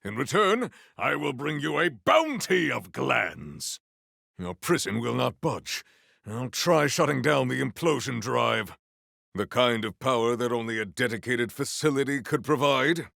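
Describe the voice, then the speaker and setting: An actor playing a proud, honorable warrior in a video game, speaking in a stately, theatrical, formal way. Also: male voice, medium pitch, expressive, unhurried, vocal fry, fairly bright tone, no echo, studio quality, exaggerated voice. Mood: stoic, formal. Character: proud, honorable, formal warrior, adult man, earnest and grandiose. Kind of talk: video game character voice lines.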